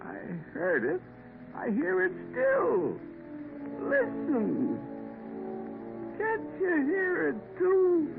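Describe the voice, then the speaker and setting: A man's voice: extremely high pitch, wildly swooping pitch, very slow, gravelly, long pauses, heavily acted. An actor playing a saintly old parson on his deathbed, speaking fervently and pleading to be believed. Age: older. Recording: tinny old radio broadcast with music.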